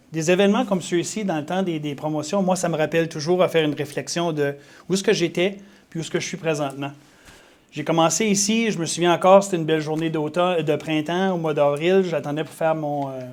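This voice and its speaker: A deputy police chief giving an earnest acceptance speech at a council meeting, with frequent hesitations and restarts. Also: male voice, on the high side. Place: council chamber.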